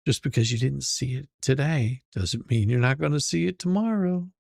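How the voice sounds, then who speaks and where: A man's voice: mid-range voice, normal speed, creaky, a little mellow, no room sound, studio quality. A man hosting his channel, reacting in casual commentary and rambling as he repeats words while he thinks. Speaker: channel host reacting to a stream.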